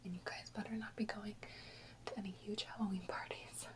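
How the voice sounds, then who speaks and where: A woman whispering softly and unhurriedly in a casual ASMR chat, close to the microphone. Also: mid-range voice, flat delivery, brisk. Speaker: ASMR video host.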